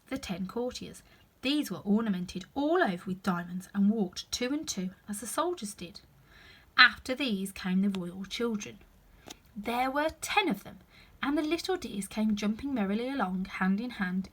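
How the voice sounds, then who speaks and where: A woman's voice: medium pitch, fairly bright tone, husky. A woman reading a children's story aloud expressively, with chatty asides to the listener.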